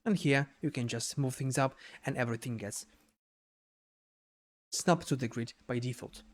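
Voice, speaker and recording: A man giving an unscripted software tutorial in a halting way, repeating words and adding little checking tags as he explains each step. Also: medium pitch. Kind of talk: unscripted screen-share tutorial narration.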